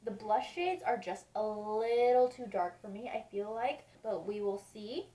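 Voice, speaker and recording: A woman's voice: mid-range voice, unhurried, dark tone. A woman vlogger with a stuffy, sniffly nose, chatting casually and rambling as she reviews beauty products. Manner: chatty, rambling, repeats reassurances. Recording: roomy sound, mic at a distance.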